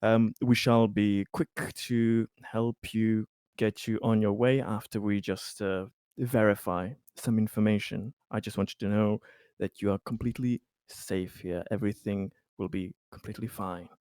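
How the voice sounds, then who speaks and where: A man playing a reassuring, polite colony official in a tabletop game, speaking in a measured, halting way. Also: lowish voice, fairly even intonation, darkish tone, clean tone, no room sound, studio quality, close to an everyday voice.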